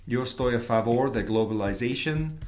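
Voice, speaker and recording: A man from Canada, a native English speaker with a foreign accent in Spanish, answering interview questions haltingly and slipping in English words. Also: medium pitch, faint music behind. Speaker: interview guest, advertising creative and professor.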